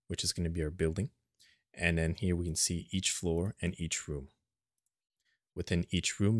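A man narrating an unscripted step-by-step software tutorial in a plain, instructional manner, recorded as a screen voice-over. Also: low voice, dry recording, clean studio sound.

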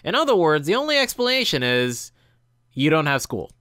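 A man's voice: a little high, sing-song, fairly quick, pushed and bright, no echo, studio quality. A young man doing casual gameplay commentary, reading story text aloud and reacting informally. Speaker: let's player. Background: game music.

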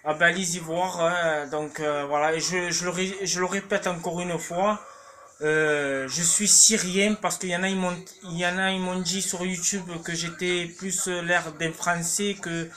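A man's voice: high voice, little pitch movement, unhurried, bright, forceful tone, a little hiss or hum. A man in his thirties with a Marseille accent, rambling casually to a phone camera in his small home kitchen, full of fillers and restarts. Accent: Marseille French. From Marseille, France. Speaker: cooking vlogger. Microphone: phone camera held at arm's length.

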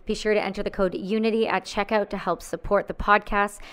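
A woman reading scripted sponsor ads with a brisk, punchy delivery. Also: medium pitch, flat delivery, fairly bright tone, clean tone, no room sound. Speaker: ad reader.

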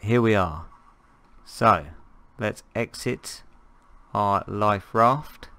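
Man, croaky from a cold, slow-paced, gravelly, rambling game commentary. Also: fairly low pitch, a bit bright. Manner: rambling, jokey, thinking aloud. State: hoarse from a cold. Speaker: gameplay commentator. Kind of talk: casual gameplay commentary.

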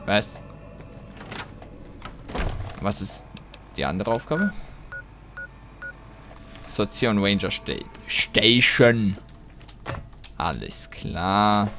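A man giving casual let's play commentary, thinking aloud in a rambling, halting way and breaking off mid-thought. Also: on the low side, animated, background noise.

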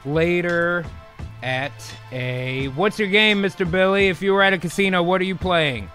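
A man with a high-pitched voice narrates and hosts a comic stream. He is casual and irreverent, close on the microphone, with clear music behind. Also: normal speed, pushed and bright. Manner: irreverent, profane. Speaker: host and narrator. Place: home room on a video call.

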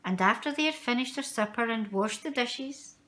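A woman reading a children's picture book aloud in an expressive, measured storytelling style with dramatic emphasis for young listeners. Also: on the high side.

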